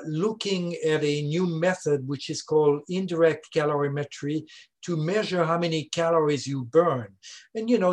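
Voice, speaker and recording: An older man from Switzerland with a Swiss French accent, a scientist explaining his research in an interview, with many "uh" pauses. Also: on the high side. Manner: explanatory, frequent "uh" fillers. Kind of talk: conversational interview.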